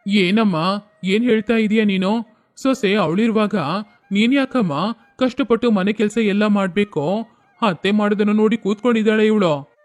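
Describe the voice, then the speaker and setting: A man's voice: high voice, normal speed, soft background music, lightly acted. Man voicing an exasperated village husband. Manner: complaining, torn between wife and mother.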